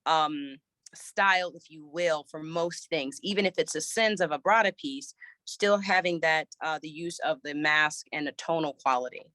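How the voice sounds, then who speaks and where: A woman's voice: low voice, moderate pace, pushed and bright, no room sound. A woman choir director and voice teacher, a little scratchy from a recent cold, answering interview questions thoughtfully with many restarts.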